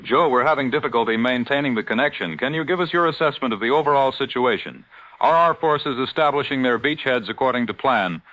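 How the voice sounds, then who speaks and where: Man acting a crisp, forceful 1940s radio newsman. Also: medium pitch, no echo, lightly acted. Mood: formal, concerned. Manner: formal, measured announcer delivery. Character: composed male 1940s American radio news anchor in New York. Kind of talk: 1940s radio news bulletin. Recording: muffled vintage radio sound.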